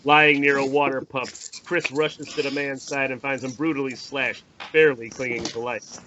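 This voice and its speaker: A man's voice: medium pitch, steady tone, normal speed. A man narrating the stage directions of a screenplay in an informal table read. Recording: dull lo-fi audio with faint noise.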